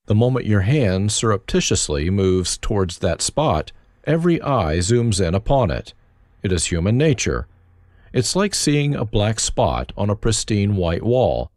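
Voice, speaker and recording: A man reads his own life story, his low voice rising and falling with a lot of movement. His humor is dry and self-mocking. The sound is dry and close, with no room around it. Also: darkish tone, no room sound. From Germany. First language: German. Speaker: autobiography narrator. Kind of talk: reflective first-person memoir reading.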